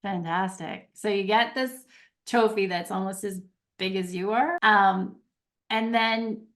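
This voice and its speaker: A woman's voice: mid-range voice, steady tone, a little slow, a bit bright. A woman with a mid-range voice interviews a guest over a video call. She asks questions in a searching, curious way and fills her sentences with "like". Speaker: host, leadership coach. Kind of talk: webinar interview.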